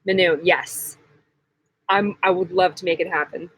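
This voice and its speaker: A woman's voice: lowish voice, steady tone, measured pace, slightly rough, low-quality recording. A young woman hosting a casual live-stream Q&A, chatty and giggly, full of likes. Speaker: vlog host.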